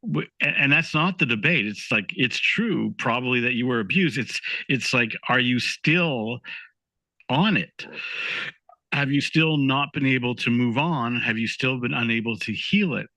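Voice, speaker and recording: Male podcast co-host, thoughtful chatty delivery with frequent restarts, bright forceful tone, dry sound. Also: mid-range voice, unhurried, dry recording, rough recording. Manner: thoughtful, laughs easily, restarts sentences. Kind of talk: casual podcast conversation.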